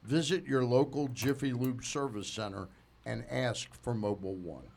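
A man in his sixties hosting a casual sports radio show, talking in a halting, digressive way full of asides. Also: mid-range voice, creaky, darkish tone. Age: 60s. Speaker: sports talk show host.

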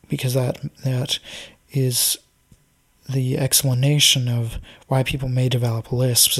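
Slow, husky man musing aloud. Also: medium pitch, fairly bright tone. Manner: thoughtful, speculative, pauses with ums. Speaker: speaker explaining ideas. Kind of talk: unscripted explanatory monologue.

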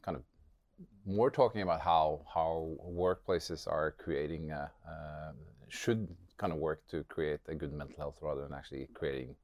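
Very deep-voiced Norwegian man, halting. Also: steady tone, a little mellow, dry recording, studio quality. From Norway. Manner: hesitant, halting, repeats words as he searches for phrasing. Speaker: interview guest. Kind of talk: reflective interview talk about personal experience.

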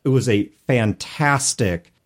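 A man's voice: medium pitch, takes it slowly. An American man co-hosting a discussion podcast, explaining his points in long, carefully qualified sentences. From United States. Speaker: podcast co-host. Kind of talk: conversational discussion of role-playing games.

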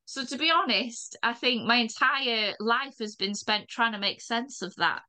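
A woman from Wales with a North Welsh accent, talking in a reflective way as a podcast guest, with soft laughs and many fillers. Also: a little high, unhurried. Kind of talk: reflective conversation.

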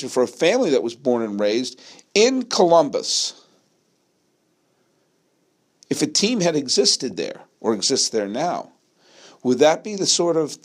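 Emphatic American man talking sports. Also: a little high. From New England, United States. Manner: emphatic, rhetorical questions, repeats phrases for effect. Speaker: sports podcast host. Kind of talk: opinionated solo sports monologue.

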